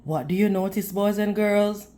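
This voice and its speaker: A woman reading a storybook aloud in an expressive, teacherly way, putting questions to young listeners. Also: mid-range voice. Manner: deliberate, teacherly, addressing children. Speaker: children's read-aloud storyteller.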